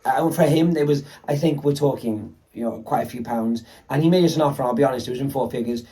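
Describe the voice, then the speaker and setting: A man from the UK, a luxury reseller co-hosting a casual vlog, croaky with a sore throat, rambling and repeating himself. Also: mid-range voice, quick pace, mellow, far from the mic. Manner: rambling, repeats words, self-correcting. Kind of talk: casual chat to camera.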